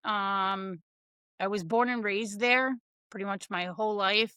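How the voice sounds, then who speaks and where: A woman's voice: mid-range voice, slow pace, bright, forceful tone, clean tone, dry recording. A woman in her thirties from Connecticut, a podcast guest telling her life story in a blunt, frank, casual way.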